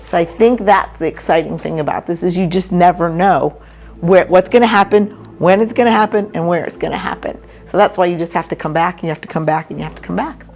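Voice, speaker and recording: A woman, a paranormal investigator, excitedly answering interview questions and repeating herself for emphasis. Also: on the low side, fast, vocal fry, faint music behind.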